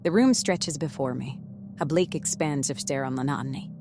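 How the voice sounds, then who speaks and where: Woman acting a tired sweary diner boss lady, really low voice, wry, horror audio drama w music behind. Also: natural voice. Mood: tired, deadpan. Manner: sardonic, world-weary, halting. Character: weary, foul-mouthed, sardonic woman diner manager. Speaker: lead voice actor.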